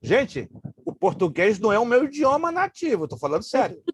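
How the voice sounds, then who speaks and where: A man whose first language is Hebrew, a pastor teaching a Bible class, emphatic and joking, slowly repeating grammar terms. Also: sits high, very animated, a little hiss or hum.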